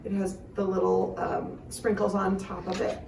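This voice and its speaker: Female guest judge, halting casual delivery, mid-range voice, distant with faint room noise. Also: mid-range voice, flat delivery, creaky, reverberant. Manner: halting, thinking aloud. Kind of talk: casual spoken judging.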